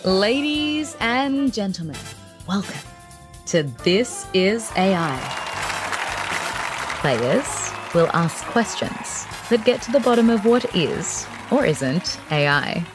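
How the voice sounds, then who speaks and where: A woman's voice: mid-range voice, very animated, takes it slowly, faint music behind, some chatter behind. A woman presenting theatrically as a game show host.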